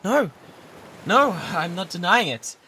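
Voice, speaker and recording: A man's voice: high voice, sing-song, takes it slowly, bright, forceful tone, full character voice, poor audio. A British man playing an obsessive, lovesick pirate in a romantic ASMR roleplay, pleading fervently and breathily, close to a binaural microphone. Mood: flustered, surprised. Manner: fervent, breathy pleading that often drops toward whispers. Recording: noticeable background noise and ambience.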